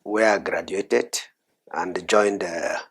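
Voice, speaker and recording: Soft-spoken husky man, very deep. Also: steady tone, slow pace. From Cameroon. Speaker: guest. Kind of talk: interview conversation.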